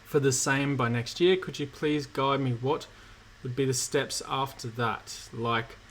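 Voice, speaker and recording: A man, slightly croaky from illness, hosting a relaxed live-stream Q&A and answering chat questions with many fillers. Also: medium pitch, unhurried, a little hiss or hum. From Australia. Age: 30s. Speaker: cybersecurity streamer host.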